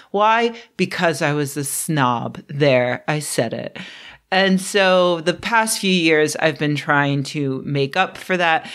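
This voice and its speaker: A young adult woman chatting unscripted on her channel, a bit throaty from a cold, rambling with fillers and self-deprecating asides. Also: low voice. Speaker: book vlogger. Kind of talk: unscripted casual vlog.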